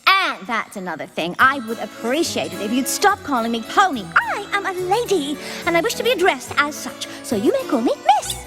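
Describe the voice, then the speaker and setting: A woman's voice: high-pitched, very animated, a little fast, few pauses. A woman playing a prissy, dramatic young pony who talks like a haughty lady and whines theatrically in a cartoon. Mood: haughty complaining. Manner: haughty, prim and theatrical, with whiny wailing. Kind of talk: animated cartoon acting. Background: music.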